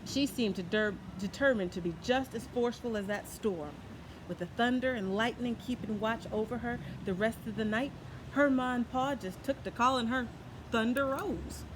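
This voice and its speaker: A woman reading a children's tall tale aloud in an expressive, folksy way, adding her own asides. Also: a little high, normal speed, noisy background. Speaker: storyteller. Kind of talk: children's story read-aloud.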